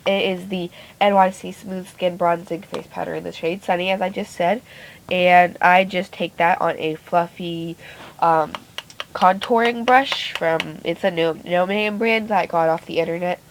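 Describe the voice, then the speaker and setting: Raspy-voiced woman chatting through a makeup tutorial. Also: lowish voice, fairly even intonation, normal speed, slight background noise, rough recording. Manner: chatty, rambling, self-correcting. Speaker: beauty vlogger. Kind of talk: casual makeup tutorial narration. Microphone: phone camera.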